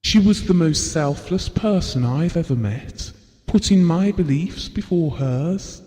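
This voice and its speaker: A devoted husband in an intimate audio drama, his voice fairly high for a man and very mellow. He tells his memories tenderly and reflectively, with a wistful air. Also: expressive, unhurried, long reverb tail. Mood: fond, wistful. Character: devoted, wistful, estranged husband reminiscing about his marriage.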